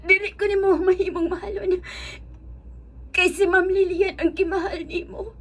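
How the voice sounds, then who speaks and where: Woman acting a very high-pitched heartbroken young woman. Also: little pitch movement. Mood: hurt, resigned. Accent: Filipino. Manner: emotional, sobbing delivery with drawn-out words. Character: heartbroken lovelorn young woman, tearful and self-pitying. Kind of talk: Cebuano radio drama acting, melodramatic.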